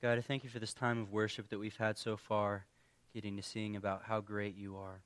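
A man preaching a conversational sermon as pastor, his voice a little worn from allergies, speaking earnestly with pauses and restarts. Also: on the low side, level tone, fairly bright tone, dry recording.

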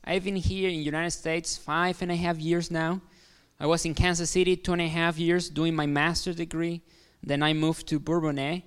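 A man with a Mexican Spanish accent preaches in a friendly, halting way, full of fillers and restarts. His voice sits a little high and sounds smooth and resonant. A long reverb trails behind him in a big hall. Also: little pitch movement. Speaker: guest pastor. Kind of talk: sermon. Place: large hall.

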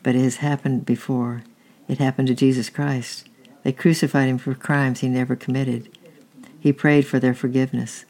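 Man reading gentle devout traveler, gravelly, flat. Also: mid-range voice, moderate pace, close to an everyday voice. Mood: gentle earnest. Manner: gentle, earnest.

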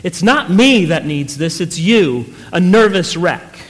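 A man's voice: sits high, lively intonation, slow. A man voicing a weary, disillusioned middle-aged wife from a short story, plain-spoken and prone to wailing. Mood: scolding. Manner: wailing, exclaiming, plain folksy phrasing. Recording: echoey hall with long reverb.

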